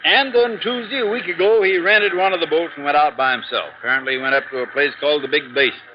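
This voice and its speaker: Actor as high-pitched, exasperated American insurance man. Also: fast talker, noisy background, lightly acted. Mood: matter-of-fact. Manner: grumbling, insistent, sardonic. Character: exasperated, dismissive male insurance agent. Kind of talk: 1950s radio drama acting. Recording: dull vintage radio recording.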